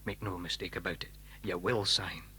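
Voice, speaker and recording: An actor with a British accent playing a stuffy, theatrical gentleman in a children's audio drama. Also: sits low, fairly even intonation, normal speed, husky, exaggerated voice. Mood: menacing. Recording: 1980s cassette dramatisation.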